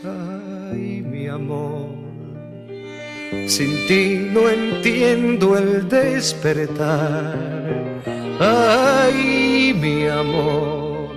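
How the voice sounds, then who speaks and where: A man's voice: sits high, expressive, extremely slow. A man singing a melodic ballad on a music recording. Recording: music recording with long reverb.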